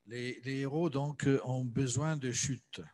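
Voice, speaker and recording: Hesitant man moderating, medium pitch. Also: fairly even intonation, takes it slowly, a little mellow. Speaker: event host, moderator. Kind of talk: unscripted moderating at a cultural talk. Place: salon with piano, indoors.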